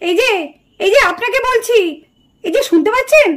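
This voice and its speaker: A woman's voice: very high voice, lively intonation, moderate pace, voice pushed up. A woman voicing an irritable, scolding young female student doll in a puppet drama. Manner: scolding, complaining.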